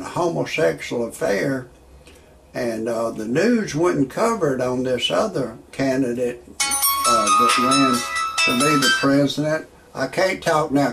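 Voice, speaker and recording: A man in his sixties talking in a rambling monologue with stand-up style jokes, halting often on fillers and restarts. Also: medium pitch, vocal fry, a bit bright. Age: 60s. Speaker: amateur online show host.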